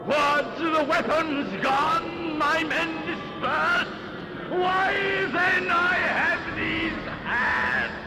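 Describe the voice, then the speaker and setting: An actor playing a crazed, commanding blind starship captain in a declamatory 1960s radio drama style. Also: male voice, extremely high pitch, extremely slow, loud music behind the voice, full character voice. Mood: raging defiance. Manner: declamatory, theatrical. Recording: echoing, very noisy old radio recording.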